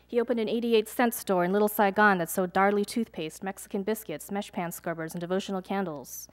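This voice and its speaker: A young adult woman reads her own poems to a live audience, pacing the lines with measured, deliberate care. Between poems she slips into loose, hesitant asides. Her pitch sits in an ordinary middle range. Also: fairly even intonation. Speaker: poet. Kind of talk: poetry reading with casual asides to an audience. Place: live reading event before an audience.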